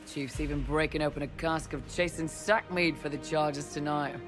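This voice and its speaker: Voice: medium pitch, fairly even intonation, moderate pace, a bit bright, soft background music, natural voice. A performer playing a young, loyal male mercenary lieutenant, easygoing and respectful, in a video game cutscene. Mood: upbeat.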